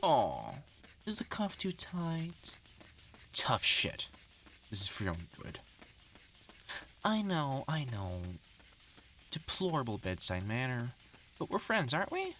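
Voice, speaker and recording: A man's voice: medium pitch, wildly swooping pitch, takes it slowly, pauses often, bright, forceful tone, slight background noise, heavily acted. A man acting a vengeful, sarcastic doctor in a horror audio roleplay, taunting with mock care and swearing. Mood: irritated, angry, then mock-caring, mocking. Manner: taunting, mock-caring, swinging into angry swearing. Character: vengeful, sarcastic male doctor turned on his former research partner.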